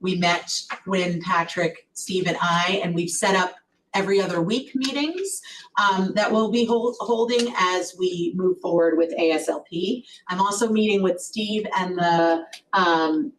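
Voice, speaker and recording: Loud-voiced woman giving a board report. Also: lowish voice, a little slow. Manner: chatty, warm, many fillers and restarts. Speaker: collaborative executive director. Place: meeting room. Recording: distant mic with faint noise.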